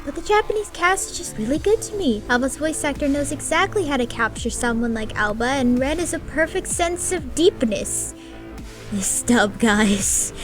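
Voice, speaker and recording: Sarcastic high-voiced woman reviewer. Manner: sarcastic, swearing, mock-dramatic. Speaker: anime reviewer. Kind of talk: sarcastic video review commentary.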